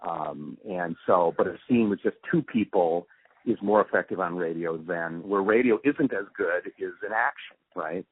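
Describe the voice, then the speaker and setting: A middle-aged or older man gives reflective interview answers in a deep voice with a husky grain. He explains carefully, with halting pauses as he thinks. The sound is narrow and phone-like. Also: no echo. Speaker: interview guest, radio drama post-production supervisor.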